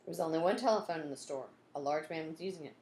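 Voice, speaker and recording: A woman reading a children's storybook aloud in an expressive way, acting out the story and adding asides like a teacher. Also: low-pitched, rapid-fire. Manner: expressive, acts out dialogue and sound effects. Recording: roomy echo, some background noise.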